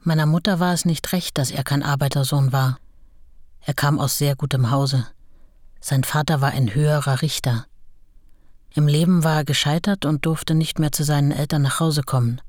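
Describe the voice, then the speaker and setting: A woman's voice: low-pitched, steady tone, moderate pace, a little mellow, no room sound, studio quality, natural voice. An actress playing a modest working-class woman recalling her youth in a radio play, in a plain, matter-of-fact way. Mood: wistful, matter-of-fact.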